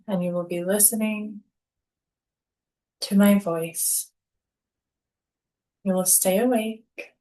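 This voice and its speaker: Slow-paced woman guiding a relaxation. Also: medium pitch. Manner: calm, unhurried, instructional, with deliberate pauses between phrases. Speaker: yoga nidra instructor. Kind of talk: guided relaxation meditation.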